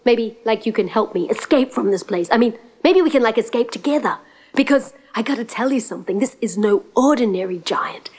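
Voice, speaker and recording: A woman voicing a young prince who talks fast and nervously in casual slang. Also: very high-pitched, lively intonation, a little mellow. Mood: chatty.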